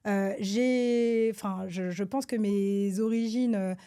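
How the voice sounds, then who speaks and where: A woman from France, a government advisor, answers interview questions in a hesitant, halting way with many filler sounds and restarts. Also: mid-range voice, steady tone, mellow, smooth. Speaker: government advisor, podcast guest.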